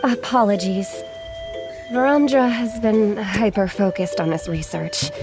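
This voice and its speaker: A woman's voice: mid-range voice, unhurried, music in the background, lightly acted. A woman playing a patient, apologetic young adventurer who speaks courteously and formally in an anime game. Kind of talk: anime video game voice acting.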